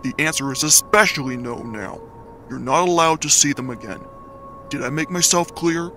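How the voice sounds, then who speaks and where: A man voicing a laid-back, protective eighteen-year-old skeleton big brother in a comic dub, with a gravelly voice and casual drawl. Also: a little high, moderate pace, pushed and bright, soft background music. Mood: stern, alarmed. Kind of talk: dramatic comic dub acting.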